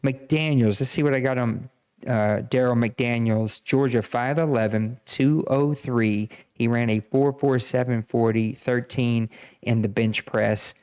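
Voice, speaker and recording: A man's voice: medium pitch, steady tone, unhurried, a little mellow, smooth. A man hosting a live podcast draft, casually reading out player picks and stats with self-deprecating jokes. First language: Cajun French. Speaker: podcast host running a draft. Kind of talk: casual live-stream commentary.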